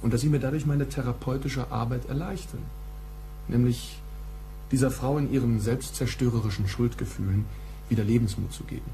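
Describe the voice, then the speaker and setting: An actor playing a measured, formal male psychotherapist in a radio play, reproving and protective of his patient. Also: mid-range voice, steady tone, dark tone, slight background noise, natural voice. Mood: reproachful.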